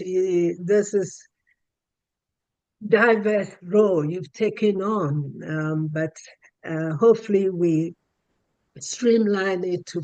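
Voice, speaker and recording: Slow, high-voiced male interviewer. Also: no room sound, low-quality recording. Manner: softly encouraging, halting with restarts. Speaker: podcast host.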